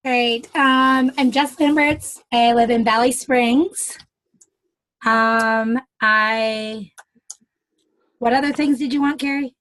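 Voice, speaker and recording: A woman speaks up on a team call, her voice sitting a little high. She stops and starts, filling the gaps as she goes. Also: fairly even intonation, takes it slowly, a bit bright, low-quality recording. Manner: hesitant, with many fillers. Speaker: team member on a video call. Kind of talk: casual introduction.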